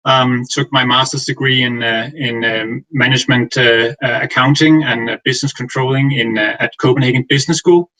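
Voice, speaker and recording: Male presenter with a Danish accent, average pitch, semi-formal conference delivery, somewhat halting. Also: steady tone, bright, forceful tone, low-quality recording. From Denmark. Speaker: guest speaker, finance executive.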